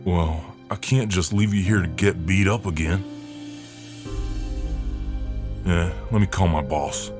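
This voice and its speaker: Man playing a deep-voiced Southern buff mailman. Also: normal speed, music in the background, natural voice. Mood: protective, easygoing. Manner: folksy, soothing drawl. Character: buff friendly Southern mailman, protective and cocky, gentle rescuer. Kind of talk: ASMR role-play acting.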